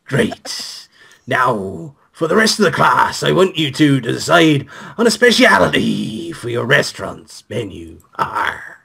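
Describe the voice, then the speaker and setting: A hammy cooking teacher, played by a man, instructs a class in a visual novel game. The voice is growly and rough, with big swings in pitch. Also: sing-song, measured pace, sharp tone, exaggerated voice. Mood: gruff, instructing.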